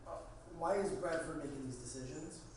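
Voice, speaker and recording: A man playing a flustered, anxious, self-doubting executive in a comedy table read, halting and bewildered. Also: fairly high pitch, natural voice. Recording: echoey noisy room, distant microphone.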